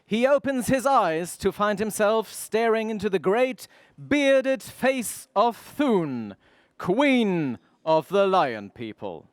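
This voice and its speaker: A man's voice: high voice, very animated, slow, dry recording, studio quality, exaggerated voice. A man playing the dramatic, suspenseful announcer of a 1930s-style radio adventure serial. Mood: excited, dramatic. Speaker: narrator.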